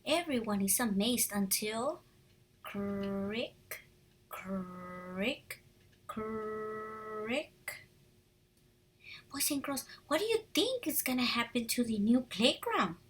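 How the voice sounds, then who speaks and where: Husky, animated woman reading to small children. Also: on the high side, extremely slow, long pauses. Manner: animated, encouraging, addresses children directly. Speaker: preschool teacher reading a picture book aloud. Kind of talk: read-aloud lesson with questions to young children.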